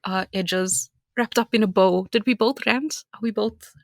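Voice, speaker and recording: A woman's voice: mid-range voice, a little slow, gravelly, no echo, studio quality. A woman from South Africa with a South African accent, co-hosting a podcast in candid casual chat, laughing often and ranting freely. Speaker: podcast co-host.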